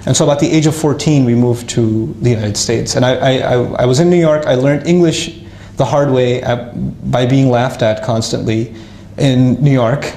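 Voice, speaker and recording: A man casually telling his life story to an audience, lively and self-mocking, with frequent restarts. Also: medium pitch, moderate pace, echoey room, a little hiss or hum.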